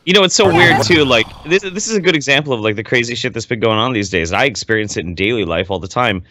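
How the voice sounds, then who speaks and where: A man's voice: medium pitch, expressive, quick pace, pushed and bright, slight background noise. A man co-hosting a podcast, laid-back and profane, talking with lots of "like". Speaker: podcast co-host. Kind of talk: casual podcast banter.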